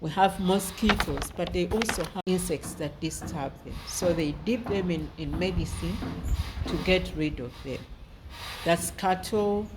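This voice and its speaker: A middle-aged woman teacher, giving a slow and patient lesson to young children and spelling words out letter by letter. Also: on the low side, background noise. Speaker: primary school teacher.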